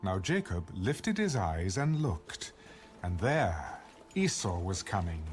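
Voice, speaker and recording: A man narrating dramatized scripture with measured storytelling. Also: low-pitched, very animated. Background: music.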